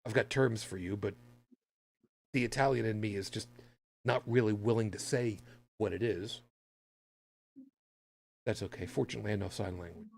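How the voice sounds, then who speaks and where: A man from the United States co-hosting a podcast, giving casual, opinionated commentary in a halting, emphatic, sardonic way. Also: fairly low pitch, gravelly, a little mellow. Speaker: podcast co-host.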